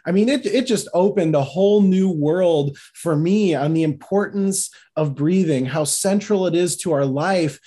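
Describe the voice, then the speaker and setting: A man's voice: fairly high pitch, warm tone. A man with a Midwestern American accent hosting a faith-based podcast in an enthusiastic, effusive way. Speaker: podcast host. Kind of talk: podcast hosting and sponsor appeal.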